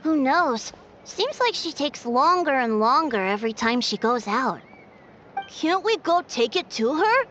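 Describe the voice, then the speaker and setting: Eager, animated village kid. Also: female voice, sits high, a little slow, highs cut off, slight background noise, heavily acted. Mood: impatient. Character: spirited young village child, eager and confident. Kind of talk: video game voice acting. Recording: dulled game audio with music. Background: game music.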